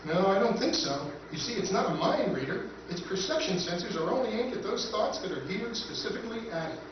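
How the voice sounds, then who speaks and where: Man acting high-voiced, analytical spaceman, very echoey. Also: lively intonation, noisy background. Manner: measured, pedantic. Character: reasoning, skeptical male spaceman, calm analytical sidekick. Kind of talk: live recreation of 1950s radio science fiction. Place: convention performance room, picked up from afar. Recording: echoey convention hall, distant muffled recording.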